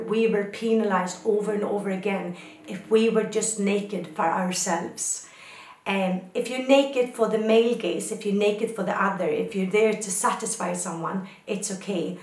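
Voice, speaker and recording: A woman's voice: mid-range voice, reverberant, poor audio. A woman from Sweden, a photographer, answering questions in a reflective personal talk with thoughtful, poetic phrasing.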